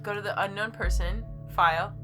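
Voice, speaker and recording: A woman's voice: medium pitch, fairly even intonation, brisk. A woman hosting a gaming video, reading game text aloud and chatting in a playful way, sometimes stumbling over the words. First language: English. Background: game music.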